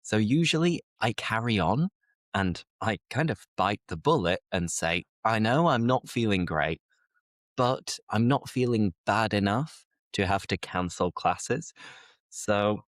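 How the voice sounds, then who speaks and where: British man with a low, slightly stuffy voice. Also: expressive, unhurried, no echo. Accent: British English. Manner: easygoing, explains idioms patiently. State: slightly congested with a mild cold. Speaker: podcast host, English teacher. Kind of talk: casual conversational podcast.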